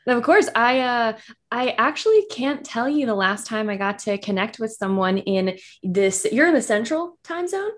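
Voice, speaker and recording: A woman's voice: fairly high pitch, lively intonation, normal speed, fairly bright tone. Chatty woman hosting a podcast. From southern Virginia. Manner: chatty, laughs often. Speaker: podcast host. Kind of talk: casual podcast chat.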